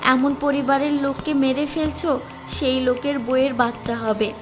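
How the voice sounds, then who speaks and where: Casting: actress as a compassionate young village wife, high voice, earnest and pleading, cartoon, faint music behind. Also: female voice, fairly even intonation, moderate pace, lightly acted. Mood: pleading, reasoning. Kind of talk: cartoon voice acting.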